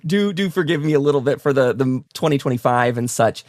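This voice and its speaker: A man's voice: medium pitch, expressive, fairly quick, a little mellow, no room sound, clean studio sound. A man hosting a conversational interview, asking questions in a polite, roundabout way. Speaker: talk show host.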